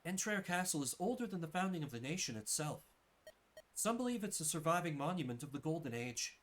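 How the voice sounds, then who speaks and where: A man's voice: on the high side, very animated, a little fast, fairly bright tone, pitched above the performer's own voice. A man voicing an earnest, troubled duke in a fantasy game. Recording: game music behind the voice.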